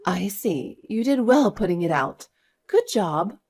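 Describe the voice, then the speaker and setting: A woman voicing a caring but firm older grandmother, earnest and measured, in an acted family story. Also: medium pitch, very animated, a little mellow, slightly rough. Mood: concerned, questioning.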